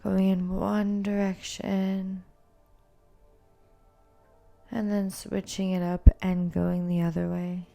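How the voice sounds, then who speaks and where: A woman yoga instructor giving calm, soothing, gentle cues as she guides a movement and meditation practice. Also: mid-range voice, slow pace, vocal fry, pauses often, soft background music.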